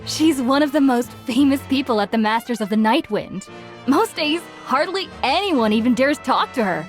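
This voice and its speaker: A cheerful young tavern hostess with a high, very lively voice. She is playful and polite, in anime game style, with faint music behind her. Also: moderate pace. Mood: amused. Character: cheerful, respectful young woman serving tavern customers.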